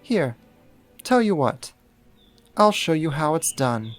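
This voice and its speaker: A man acts out a puny, possessive male vampire in a roleplay audio drama. His voice sits on the higher side, sarcastic and haughty, with a fussy, vain streak. Soft music runs underneath. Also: big pitch swings, slow pace. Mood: scornful.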